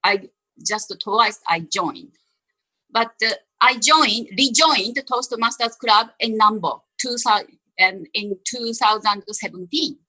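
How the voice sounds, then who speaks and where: Slow, halting woman. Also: mid-range voice, pushed and bright, low-quality recording. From Japan. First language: Japanese. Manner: halting, with many restarts. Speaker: panelist. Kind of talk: self-introduction on a panel.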